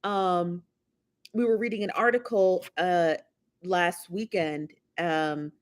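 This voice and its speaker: A woman's voice: on the low side, steady tone, takes it slowly. A woman hosting a live celebrity gossip show, chatty and teasing, often laughing as she talks. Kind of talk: casual chat.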